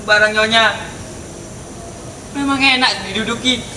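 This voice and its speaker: A young man playing a sly, courteous, persistent gentleman in a stage drama. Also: extremely high pitch, steady tone, unhurried, heavily acted. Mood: smooth teasing. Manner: courteous, teasing, insistent. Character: sly, polite, persistent gentleman pestering a married lady. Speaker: student stage actor. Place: outdoor car park stage. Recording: distant echoey stage recording with loud background noise.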